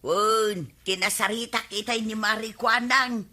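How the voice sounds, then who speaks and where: A woman's voice: a little high, steady tone, measured pace, pushed and bright. A woman playing a nosy, scheming village gossip in an Ilocano radio comedy drama, with an Ilocano accent and a teasing, needling delivery. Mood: complaining.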